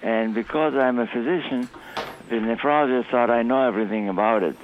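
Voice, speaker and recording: A man, a physician guest calmly telling his story. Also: medium pitch, a little fast, a little hiss or hum. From Israel. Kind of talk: phone interview. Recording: tinny phone line.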